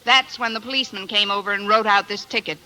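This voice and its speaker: An actress playing a wry, sharp-tongued schoolteacher, delivering dry deadpan wisecracks in a 1950s radio comedy. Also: female voice, medium pitch, fast talker. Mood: wry, exasperated. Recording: noisy old radio recording.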